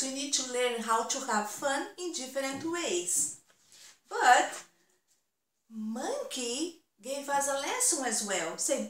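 High-pitched woman, talking to children. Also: fairly bright tone. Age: middle-aged. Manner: deliberate, simple explaining to children. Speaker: elementary guidance counselor. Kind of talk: children's storybook read-aloud and talk to pupils. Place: indoors, small room at home. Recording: roomy echo, distant mic.

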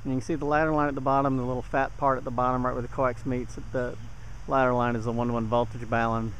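Male hobbyist demonstrator, average pitch, casual how-to delivery, straightforward and explanatory, outdoor handheld recording with some ambient noise. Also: flat delivery, fast, fairly bright tone, poor audio. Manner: plain-spoken, explanatory. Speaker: DIY radio hobbyist demonstrator. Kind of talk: casual hands-on tutorial narration. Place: outdoors in a yard. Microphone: handheld camera mic. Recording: outdoor handheld camera, clear background noise.

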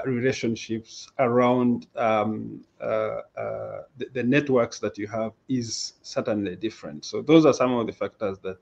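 A man from Uganda, a mental health advocate, speaking haltingly with many fillers over a headset on a video call. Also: medium pitch, creaky, a little mellow, muffled recording. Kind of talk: panel discussion.

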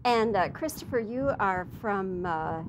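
A woman interviewer in a hotel suite, asking friendly questions with warm chuckles and compliments. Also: mid-range voice, animated, measured pace, a little hiss or hum.

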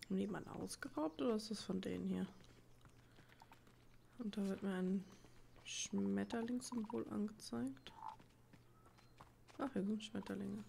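A woman's voice: medium pitch, measured pace, gravelly, pauses often, warm tone, a little hiss or hum. A woman giving casual gameplay commentary, musing and thinking aloud as she plays. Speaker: let's player.

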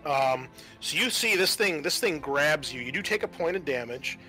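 A man narrating a tabletop roleplaying game as game master, casually, pausing as he goes and reading out rules. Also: on the high side, expressive, sharp tone, soft background music, poor audio.

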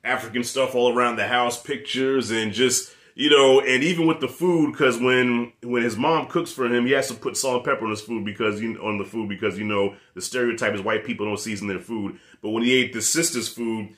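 Profane, animated man with a New York accent. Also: medium pitch, fairly quick, hardly any pauses. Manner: profane, animated, talks with his hands. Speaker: movie reviewer. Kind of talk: casual review rant. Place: living room with posters.

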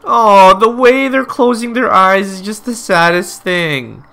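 A man's voice: high-pitched, unhurried, creaky, bright, forceful tone, faint music behind. A man giving casual, emotional let's play commentary, gushing as he reacts to the game. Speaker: gaming YouTuber.

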